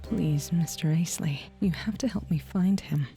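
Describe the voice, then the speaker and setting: A woman acts out a spoiled, rich noir femme fatale in an ASMR drama. Her voice is low and dark, breathy and half-whispered, melodramatic, with faint music underneath. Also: unhurried. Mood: pleading, haughty. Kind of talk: noir roleplay acting, ASMR.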